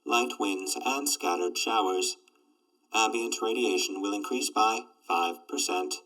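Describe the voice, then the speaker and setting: A man's voice: fairly low pitch, measured pace, pushed and bright, distant mic, low-quality recording. A man playing an emotionless robot that announces reports in a clipped, mechanical manner for an audio drama.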